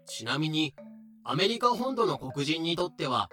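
A man's voice: fairly high pitch, big pitch swings, normal speed, bright, forceful tone, faint music behind. A man reading scripted explanatory narration as the narrator of an audio drama.

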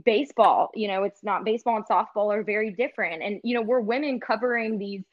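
Woman, sports reporter, earnest, chatty fillers, mid pitch, slightly muffled lo-fi recording. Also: fast. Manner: earnest, filler-heavy with many "like" and "you know". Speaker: in-arena sports host and reporter. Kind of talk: casual podcast interview talk.

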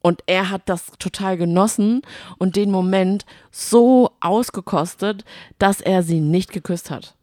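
A woman's voice: medium pitch, a little slow, a little mellow, no room sound, clean studio sound. A woman co-hosting a casual podcast, chatty and teasing in manner. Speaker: podcast co-host.

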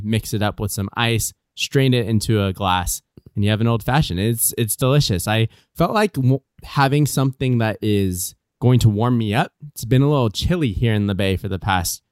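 A man's voice: lowish voice, normal speed, clean tone, no echo, clean studio sound. A man hosting a casual podcast from a guest room at home, chatty and upbeat, teasing his guests. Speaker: podcast host.

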